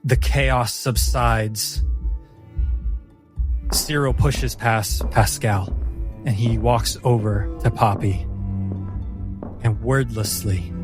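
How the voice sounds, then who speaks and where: A male game master tells a dramatic fantasy story in a mid-range voice. He takes his time, leaving deliberate pauses between phrases, while music plays clearly behind him. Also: medium pitch, fairly even intonation. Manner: measured, deliberate, theatrical pauses. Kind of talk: dramatic narration of a tabletop roleplaying story.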